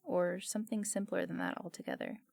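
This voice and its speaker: A woman playing the musing host of an eerie fiction radio show, speaking in a measured, contemplative way. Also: on the low side, fairly even intonation, creaky, darkish tone, dry recording, clean studio sound. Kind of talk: scripted reflective fiction narration.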